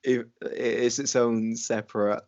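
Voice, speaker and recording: A man's voice: medium pitch, little pitch movement, measured pace, darkish tone, highs cut off. A young man from Wales, with an accent that mixes English, American and Welsh, co-hosting a podcast in casual, rambling talk full of ums and restarts. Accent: mixed English, American and Welsh. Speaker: podcast co-host. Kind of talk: casual chat.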